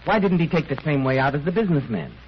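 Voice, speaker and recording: A man's voice: on the high side, sing-song, quick pace. A male radio host in 1930s announcing style, polished and courteous, delivering scripted sponsor chat like a salesman. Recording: dull, noisy 1930s radio recording.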